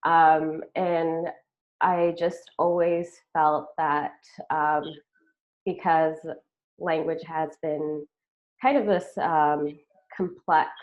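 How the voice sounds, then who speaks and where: A woman from Sudan whose first language is Arabic, speaking hesitantly with many pauses and fillers in a casual panel conversation over a video call. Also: low voice, fairly even intonation. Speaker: artist guest. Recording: tinny phone-like video call audio.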